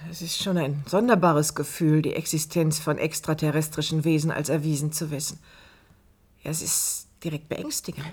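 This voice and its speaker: An actress playing a curious, uneasy interviewer, probing with questions in a radio drama. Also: female voice, deep voice, fairly even intonation, normal speed, a little mellow, husky. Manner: inquiring, probing. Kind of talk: German radio drama acting.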